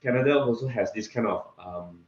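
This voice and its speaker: A man from Singapore with a Singaporean accent, talking casually as an interview guest in a halting way, often repeating words. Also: medium pitch. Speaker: interview guest, real estate investor. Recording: echoey room, slightly dull sound.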